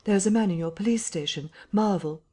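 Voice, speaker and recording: A frantic, insistent man who rattles off urgent commands, as a woman reader puts him on. The voice sits well above the reader's own low tone and is pushed hard. It is a storybook figure, read aloud rather than played in a studio drama. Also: a little fast, dark tone, natural voice. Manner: urgent, pressing, breathless commands.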